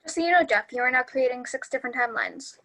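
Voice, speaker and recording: A woman plays a clueless but analytical young sitcom man. The voice is somewhat high and very bright. The lines come out as earnest, matter-of-fact little speeches. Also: sing-song, fast, exaggerated voice, poor audio. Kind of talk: sitcom script table read.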